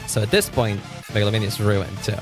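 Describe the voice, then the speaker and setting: A man's voice: fairly low pitch, fairly even intonation, fast talker, music in the background. A man from Argentina, a Spanish speaker, chatting casually on a live stream. He rambles, repeats words as he stammers, and laughs often. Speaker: music streamer.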